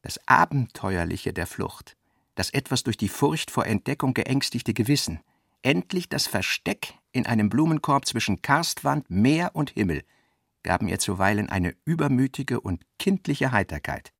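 A man reading scripted literary narration for a radio production, with a creaky voice in a measured, reflective manner. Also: mid-range voice, animated, fairly bright tone, dry recording, studio quality.

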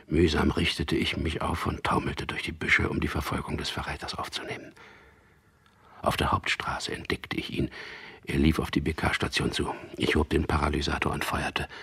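An actor playing a tormented, confused captured resistance fighter in a radio drama, hushed, halting and intense. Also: male voice, level tone, full character voice. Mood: tense, hushed recollection. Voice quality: very low, breathy, gravelly whispery voice. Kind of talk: radio drama acting with first-person narration.

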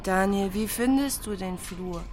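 An actress playing a friendly, mildly reproachful young housemate in a radio drama. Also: female voice, mid-range voice, a little slow, background noise, natural voice.

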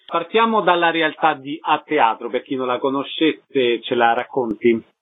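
A man's voice: mid-range voice, measured pace, dry recording. A man hosting a radio interview, moving between scripted announcements and questions, over a narrow broadcast sound.

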